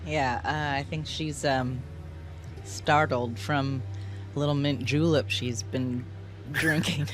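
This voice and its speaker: A man playing a tabletop game, easygoing, slowly describing his character's actions. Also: on the high side, steady tone, over music. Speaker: player. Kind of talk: casual play at a tabletop game.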